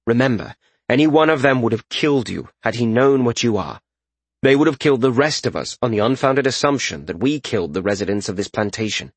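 A man voicing a grave, pragmatic male warrior leader in a fantasy audiobook, measured and matter-of-fact. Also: medium pitch, expressive, husky, dry recording. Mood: grave, reassuring.

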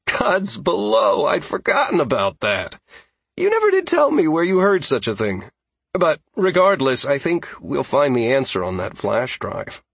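A man playing a wry, unflappable male character in audiobook dialogue, speaking in a measured, conversational, husky voice. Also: a little high, wildly swooping pitch, a bit bright, no echo, full character voice. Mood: exasperated, wry.

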